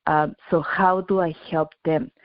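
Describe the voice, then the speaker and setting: A woman's voice: deep voice, steady tone, unhurried, dry recording, lo-fi sound. A middle-aged woman from Chile, an engineer and company founder, speaking as a radio interview guest in an earnest way, restarting often and repeating words.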